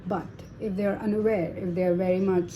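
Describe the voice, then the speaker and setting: A woman's voice: medium pitch, little pitch movement, normal speed, mellow, a little hiss or hum. A woman giving a casual tarot reading to viewers, talking in a chatty, reassuring way with many fillers and tag questions. Her first language is Hindi. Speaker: tarot reader.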